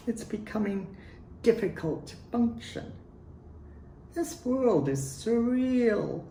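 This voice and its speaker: A man reading his own poems aloud in deliberate, short declarative phrases with pauses between them. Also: very high voice, animated, warm tone. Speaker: poet reading own work. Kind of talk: spoken poetry reading. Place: at home in a residence. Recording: roomy home recording with faint noise.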